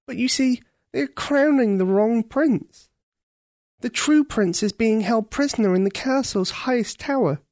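A storyteller voicing a brave young girl who can turn into a crow, earnest and eager, pitched well above their own voice. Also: unhurried, darkish tone, no echo, clean studio sound, natural voice.

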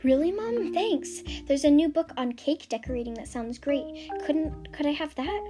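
A woman voicing a shy ten-year-old girl witch who loves baking, speaking earnestly and softly. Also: high voice, normal speed, music in the background, lightly acted. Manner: earnest, pleading, soft-spoken.

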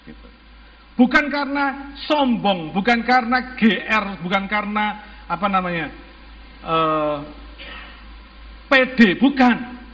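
A man's voice: high voice, animated, slow pace, long pauses, a bit bright. A man with an Indonesian accent preaching to a congregation as a pastor, exhorting them emphatically with rhetorical questions and some English phrases mixed in. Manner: mixes English phrases into speech. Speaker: church pastor. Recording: muffled, long hall reverb.

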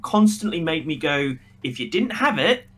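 A man's voice: fairly high pitch, big pitch swings, moderate pace, a bit bright, slightly rough. A man co-hosting a podcast, chatting casually about films in a blunt, emphatic and critical way. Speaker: podcast co-host reviewing films. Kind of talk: casual opinionated review chat.

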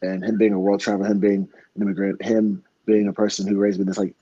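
A man's voice: fairly low pitch, flat delivery, quick pace, warm tone, slightly rough, no echo, lo-fi sound. A young American man, a professional fighter, talking earnestly in a casual interview, rambling with many restarts. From United States.

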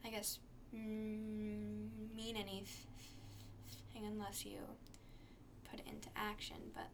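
A teenage girl talking as a podcast guest, soft-spoken and stuttering with repeated sounds. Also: mid-range voice, monotone, slow, background noise. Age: teens. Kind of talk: podcast conversation.

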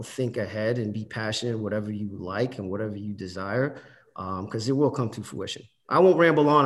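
A man in his forties from Haiti giving an earnest, rambling introduction with many fillers on a video call panel. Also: fairly low pitch. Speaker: panelist, investment banker.

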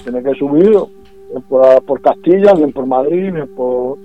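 A man's voice: mid-range voice, measured pace. A man with a Murcian Spanish accent speaking as a regional politician in a radio interview, insistent and often restarting and repeating his points. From Puerto Lumbreras, Murcia region, Spain. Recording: dull lo-fi radio with music behind.

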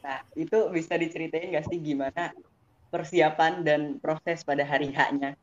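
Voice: mid-range voice, steady tone, moderate pace. A young Indonesian host leads a casual interview, asking questions in a conversational way. The recording is dull and lo-fi, with a faint layer of noise. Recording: muffled lo-fi audio with faint noise.

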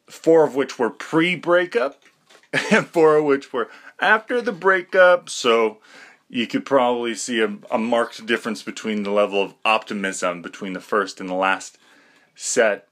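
A man's voice: mid-range voice, animated, a little slow, fairly bright tone. A young man talking through a rambling, self-deprecating podcast monologue, full of fillers and restarts. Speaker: podcast host.

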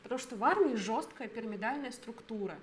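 A woman's voice: on the high side, lively intonation, fast. A woman of thirty co-hosting a podcast, explaining her thoughts at length in casual chat. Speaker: podcast co-host.

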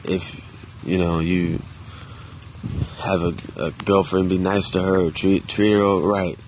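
A man talking to himself in a park in a quiet, subdued, hesitant way, with many restarts and casual swearing. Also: low-pitched, little pitch movement, slow, a little hiss or hum, low-quality recording. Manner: loose and conversational with casual swearing. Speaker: vlogger. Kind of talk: casual solo monologue. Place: outdoors in a park.

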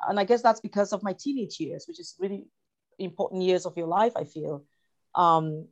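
A woman from Cameroon talking reflectively as an interview guest, halting and repeating phrases as she searches for her words. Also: fairly low pitch, darkish tone. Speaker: interview guest, writer and actor.

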